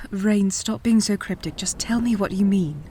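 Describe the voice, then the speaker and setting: A woman acting a serious, probing investigator in an audio drama, with a breathy voice and a measured, insistent manner. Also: medium pitch, mellow, a little hiss or hum, natural voice. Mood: impatient.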